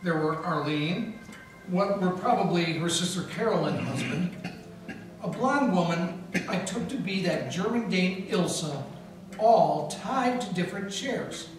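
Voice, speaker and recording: A man's voice: high-pitched, expressive, a little slow, a little hiss or hum. A man narrating as a hard-boiled detective in a live 1940s-style radio play, in a wry first-person manner, before an audience in a historic mansion. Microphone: stand mic, filmed by a far camera. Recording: echoey room taped from far off.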